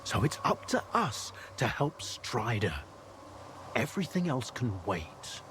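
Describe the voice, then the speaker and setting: An actor playing a weary, protective male doctor in a video game, talking in a grave and measured way. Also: medium pitch, big pitch swings. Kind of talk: video game cutscene acting. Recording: noisy outdoor ambience, insect-like buzzing.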